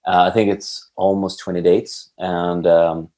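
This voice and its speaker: A man speaks casually as a podcast guest. He is a musician who often pauses, feeling his way toward the right words. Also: low voice, level tone, darkish tone. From Sweden. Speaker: band guitarist, podcast guest. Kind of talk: casual interview.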